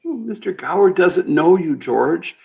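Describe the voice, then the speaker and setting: A gentle guardian angel acted by a man explains patiently and earnestly in an old radio drama. The voice sits high, with a gravelly creak. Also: expressive, unhurried, exaggerated voice. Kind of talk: old-time radio play acting.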